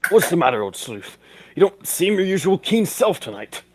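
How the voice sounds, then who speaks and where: A man playing a pompous, excitable gentleman sidekick in 1940s radio detective style, with a husky voice and old-fashioned manners of address. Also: high voice, moderate pace. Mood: breezy.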